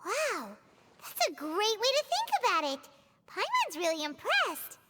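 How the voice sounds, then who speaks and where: A woman voicing a small, childlike, chirpy fairy companion in a video game, squeaky and blunt. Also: huge pitch swings, a little slow, heavily acted. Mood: cheerful. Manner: squeaky, childish, refers to self in third person. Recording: loud music over the voice.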